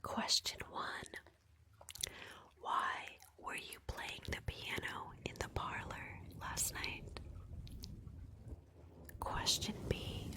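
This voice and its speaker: Whispering woman, slow ASMR host. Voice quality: breathy whisper. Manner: softly deliberate, instructive, step-by-step. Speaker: livestream host of a murder mystery roleplay. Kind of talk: whispered ASMR roleplay narration with audience prompts.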